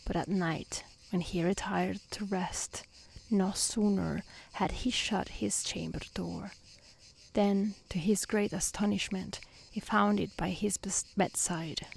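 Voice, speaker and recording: A woman reading a fairy tale aloud in a soft, near-whispered ASMR style, gentle and calm. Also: mid-range voice, vocal fry, husky. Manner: gentle, calm, unhurried delivery. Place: outdoors in nature.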